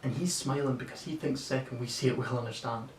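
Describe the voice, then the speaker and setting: A nineteen-year-old man playing a sweary, awestruck young lad, telling a story in a halting, conversational stage monologue. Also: fairly even intonation, natural voice. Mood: hushed awe. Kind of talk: naturalistic contemporary stage monologue for an audition. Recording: echoey room, distant mic, noisy rumble.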